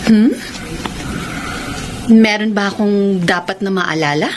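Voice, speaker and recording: A woman's voice: medium pitch, slow, lightly acted. An actress playing a bubbly, teasing, outgoing young woman in a romantic radio drama. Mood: tender, hesitant. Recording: noisy radio drama with background ambience.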